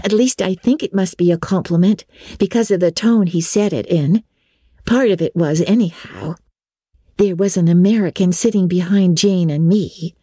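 A woman's voice: lowish voice, normal speed, dark tone, husky, no room sound, studio quality, pitched above the performer's own voice. A woman voicing a chatty, romantic teenage girl who gushes eagerly, in a storybook reading. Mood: eager, admiring.

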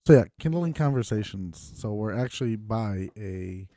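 Low-key guy co-hosting a podcast. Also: expressive, moderate pace, dark tone, no room sound, clean studio sound. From Wisconsin. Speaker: podcast co-host. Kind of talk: casual chat among friends.

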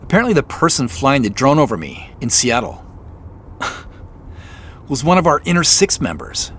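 A man's voice: mid-range voice, sing-song, moderate pace, a bit bright, a little hiss or hum. A man acting in an audio drama as a suspicious, on-edge man investigating a mystery, with a dry, guarded manner. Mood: guarded, wry. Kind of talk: naturalistic audio drama dialogue.

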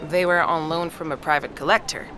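An actress playing a chatty, amused friend who tells an anecdote in an animated, gossipy way in an audio drama. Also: female voice, sits low, a little fast. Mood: amused, excited. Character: chatty, amused woman friend, enthusiastic storyteller. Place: outdoors on a walk in town. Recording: clear street noise, outdoor ambience.

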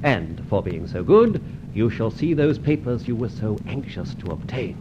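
An actor playing a suave, teasing gentleman adventurer in an old radio serial, pompous and flippant with mock courtesy. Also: mid-range voice, big pitch swings, normal speed, very noisy, natural voice. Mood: teasing, commanding. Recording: dull old radio recording.

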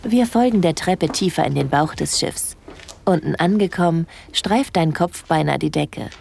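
A woman playing a shy yet bold young cruise guest in an intimate audio drama, speaking softly and hesitantly. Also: low voice, moderate pace, creaky, a little hiss or hum. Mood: intimate musing.

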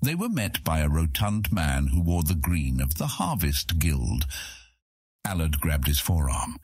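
A man's voice: rumbling low, big pitch swings, unhurried, darkish tone, husky, no echo, studio quality. A man reading scripted narration for a fantasy audiobook.

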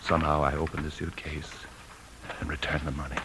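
An American actor playing a weary, guilt-ridden husband and bank man, narrating gravely in a 1950s radio drama. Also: a bass voice, little pitch movement, a little fast, very noisy. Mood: grave, confessional. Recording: 1950s radio broadcast with music.

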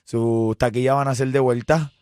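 A man's voice: medium pitch, steady tone, normal speed, a little mellow, no echo, clean studio sound. A man hosting a radio show in casual Spanish slang, joking and bantering informally. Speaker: morning radio show host. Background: background music.

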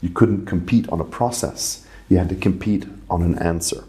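A man's voice: deep voice, moderate pace, mellow. A middle-aged man who grew up in Saudi Arabia, telling his story as an interview guest, with many fillers and restarts. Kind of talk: conversational interview.

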